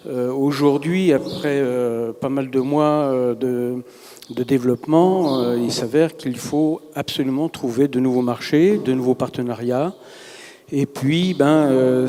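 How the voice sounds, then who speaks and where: A man giving a semi-improvised seminar presentation as an organisation's representative, very hesitant, breaking his phrases with pauses. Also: medium pitch, mellow. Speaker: representative of neighbourhood work-integration enterprises. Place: large reverberant room at a seminar. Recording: echoey hall with long reverb.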